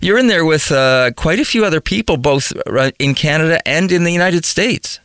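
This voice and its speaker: Guy hosting an interview, lots of uhs, encouraging, smooth resonant voice, dry sound. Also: on the high side, animated, moderate pace, no echo. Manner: warm, hesitant, many "uh"s, encouraging. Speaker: interview host. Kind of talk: interview questions.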